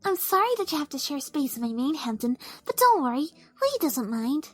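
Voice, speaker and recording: A woman voicing a timid, soft-spoken, kind young female pegasus pony in a cartoon audio drama, hesitant and apologetic. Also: high voice, very animated, normal speed. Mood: gentle, hesitant. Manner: hesitant, apologetic, gently stammering.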